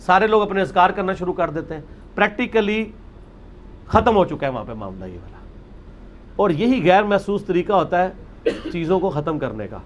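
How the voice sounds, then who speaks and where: A Pakistani man with a slightly high voice speaks as a religious lecturer. He talks off the cuff, building an argument point by point and explaining as he goes, dropping English words into his speech. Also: sing-song, normal speed, pauses often, muffled recording. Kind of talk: informal religious lecture, extempore.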